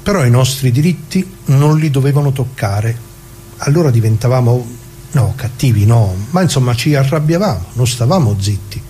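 Man acting a mellow, proud old communist worker. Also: medium pitch, lively intonation, slight background noise, lightly acted. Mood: proud, wistful. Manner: colloquial, halting, repeating words, earnest. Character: nostalgic, proud working-class Italian communist, an older man. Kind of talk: conversational theatre monologue for radio.

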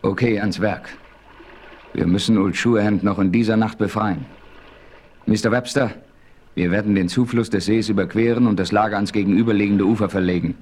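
A man's voice: lowish voice, fairly even intonation, fairly quick, darkish tone, natural voice. An actor playing a resolute, commanding Western adventurer who narrates his story in a 1970s radio play. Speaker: narrator and lead character. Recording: faintly noisy old radio play recording.

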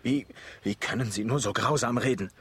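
An actor playing a desperate, pleading young man in love in a radio drama, with a husky voice. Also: mid-range voice, normal speed, natural voice. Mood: stunned, despairing.

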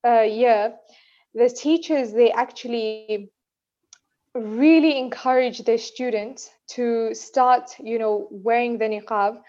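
Chatty young woman, slightly high voice. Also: steady tone, slow pace, warm tone. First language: not Urdu. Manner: chatty, many fillers and "you know what I mean". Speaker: podcast co-host. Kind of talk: casual conversational storytelling. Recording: dull lo-fi podcast audio.

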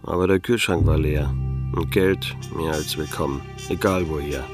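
Noir radio drama: weary middle-aged male detective, low register, laconic wit, music underneath. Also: normal speed. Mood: wry, brooding. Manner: laconic, dry, sardonic. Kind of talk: noir radio play narration and dialogue.

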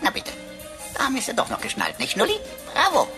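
Swooping, cheeky computer sidekick. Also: female voice, high-pitched, huge pitch swings, fast talker, music in the background. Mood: smug lecturing. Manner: sarcastic, slangy, teasing, theatrical. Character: cheeky, mocking talking computer sidekick, flippant know-it-all. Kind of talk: German radio play acting.

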